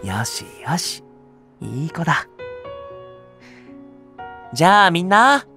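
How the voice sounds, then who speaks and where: An actor playing a gentle, patient young male nursery teacher, speaking in a soft, soothing way. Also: a little high, big pitch swings, takes it slowly, faint music behind, exaggerated voice. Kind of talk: anime voice drama acting.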